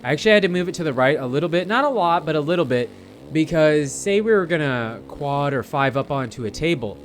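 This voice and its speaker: Slightly high-voiced man doing casual gaming commentary. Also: animated, a little fast, slight background noise. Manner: informal, chatty, addressing viewers directly. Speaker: gamer narrating gameplay. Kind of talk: casual rambling gameplay commentary.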